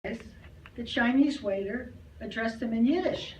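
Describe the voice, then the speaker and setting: A woman's voice: fairly high pitch, slow, dull audio. A woman telling a humorous anecdote to an audience at a live club reading. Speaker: reader at a club reader's theater. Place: clubhouse, live performance. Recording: roomy, distant, noisy live recording.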